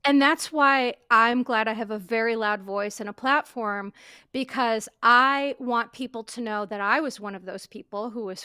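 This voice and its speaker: A woman's voice: on the high side, sharp tone, clear, resonant tone, no echo, clean studio sound. Emphatic, earnest woman on a podcast. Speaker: podcast guest. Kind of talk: casual podcast conversation.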